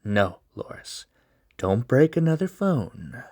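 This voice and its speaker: A man's voice: mid-range voice, big pitch swings, slow pace, mellow. A man voicing a bitter, struggling young man who is exasperated and snappy, in a storybook reading. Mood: brooding.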